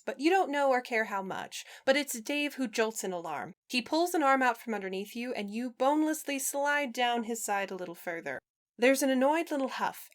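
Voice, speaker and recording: A woman reading a story aloud as co-reader, in a narrating style with casual asides. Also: on the high side. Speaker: podfic co-reader.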